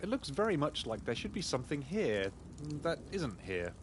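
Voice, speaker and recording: A man's voice: fairly high pitch, very animated, moderate pace, a little hiss or hum. A man playing a confident, curious young pig detective in a video game, polite and inquisitive. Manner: polite, inquisitive, self-assured. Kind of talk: scripted game character acting. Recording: loud game music behind.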